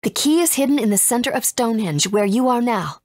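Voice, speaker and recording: A woman's voice: on the high side, animated, a little fast, darkish tone, no room sound, clean studio sound. A woman playing a composed, authoritative guide in a video game, serious and explanatory. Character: composed, authoritative woman guiding young heroes.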